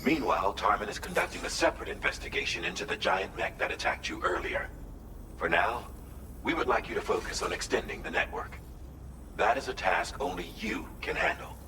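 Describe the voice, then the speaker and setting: Low, echoing voice of a formal mission coordinator. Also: male voice, big pitch swings, background noise. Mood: measured, businesslike. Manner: formal, measured, precise instructions. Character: calm, methodical male mission coordinator briefing an agent. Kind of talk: scripted game mission briefing.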